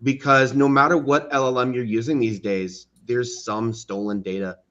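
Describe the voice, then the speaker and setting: A man's voice: mid-range voice, steady tone, moderate pace, fairly bright tone. Man explaining carefully, slightly muffled sound. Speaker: audio drama creator, podcast guest. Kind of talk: explanatory interview talk. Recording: dull, muffled online call audio.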